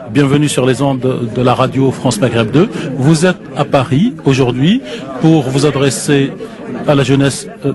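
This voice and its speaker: Formal male radio interviewer. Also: medium pitch. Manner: formal, polite.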